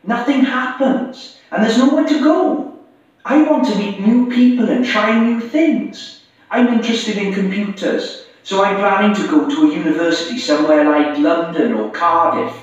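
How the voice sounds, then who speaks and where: Restless small-town youth played by a man, high male voice, muffled interview playback. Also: normal speed, lightly acted. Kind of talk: scripted textbook radio interview. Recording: muffled echoey playback through classroom speakers.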